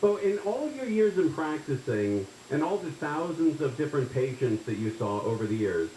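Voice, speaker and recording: Animated, higher-pitched man hosting talk radio. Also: normal speed, echoey room, slight background noise, poor audio. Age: 40s. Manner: rambling, folksy, says "right?" often. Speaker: radio show host. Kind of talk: casual talk radio chat.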